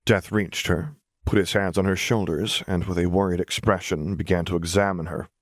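Man, audiobook narrator, very deep pitch, scripted storytelling, dry close sound. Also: steady tone, normal speed, no echo.

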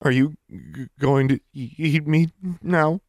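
A man's voice: mid-range voice, fairly even intonation, slow pace, darkish tone, no echo, clean studio sound, voice pushed up, lightly acted. A man voicing a cold, shivering teenage girl prisoner in an audiobook, stammering and indignant. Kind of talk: audiobook character voice.

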